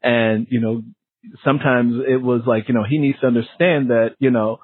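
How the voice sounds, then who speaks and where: A man hosts a book interview in an easy, conversational register. His voice sits at a middle pitch and is recorded dry and close. He talks informally, with colloquial asides. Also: steady tone, moderate pace, no room sound, phone-quality sound. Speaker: interviewer and fellow author.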